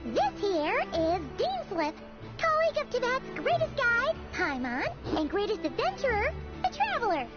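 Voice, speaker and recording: Casting: very high, animated, childlike floating sidekick girl, boastful and chirpy, anime game. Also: normal speed, heavily acted. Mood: eager. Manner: exclamatory, refers to herself in third person. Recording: muffled game capture over music.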